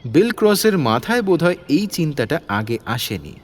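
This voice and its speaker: A man's voice: a little high, big pitch swings, moderate pace. A man narrating a scripted detective story as its narrator.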